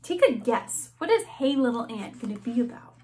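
Casting: young woman teacher, bright high voice, lively kids' storytime delivery. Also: moderate pace, slight background noise. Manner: animated, gasping, questions to young listeners. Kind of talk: children's read-aloud. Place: small classroom-style room indoors.

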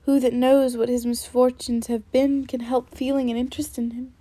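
Casting: woman reading as a fiery young lady, higher voice, steady and mellow. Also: moderate pace. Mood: indignant. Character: spirited young woman, sharp-tongued and indignant.

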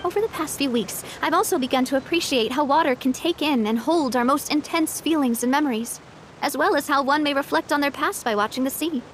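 An actress playing a warm, resolute young woman leader in a video game, wistful yet upbeat. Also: high voice, a little fast, a little hiss or hum, lightly acted. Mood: wistful, reflective. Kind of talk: video game character acting.

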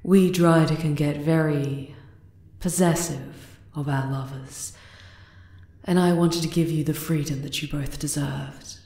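A woman voicing a regal, possessive yet tender spider queen in an ASMR roleplay, soft and deliberate in manner. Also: deep voice, steady tone. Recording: roomy, echoing cave-like reverb.

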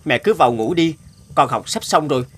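A man's voice: fairly high pitch, animated, fairly quick, breathy edge. A man playing a kind, earnest poor young scholar in a cartoon fairy tale. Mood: tender, reassuring. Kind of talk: cartoon voice acting.

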